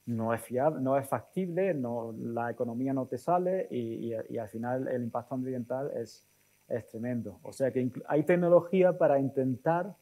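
Halting man in his forties, mellow voice. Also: mid-range voice. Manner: halting, thinking aloud with frequent tag questions. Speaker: guest speaker, technology executive. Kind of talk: on-stage interview, answering audience questions. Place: auditorium stage. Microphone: lapel mic.

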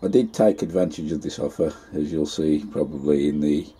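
A man's voice: a bass voice, fairly even intonation, fairly quick, warm tone, husky. Homely man in his fifties. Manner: chatty, homely, plain-spoken. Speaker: allotment vlogger. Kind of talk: casual gardening vlog narration. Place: inside a greenhouse on an allotment.

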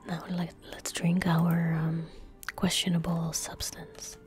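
A young woman whispering gently and breathily in a soft-spoken ASMR style as she comments on a game she plays. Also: on the low side, monotone, music in the background. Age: young adult. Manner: gentle, unhurried, murmured asides to self. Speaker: ASMR gaming streamer. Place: indoor room at a computer. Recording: faint background noise, game ambience. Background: soft game ambience, faint noise.

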